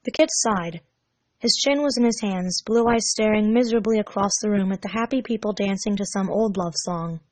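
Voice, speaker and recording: A woman reading a scripted story aloud as narrator, measured and expressive. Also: mid-range voice, dry recording. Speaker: fan fiction narrator.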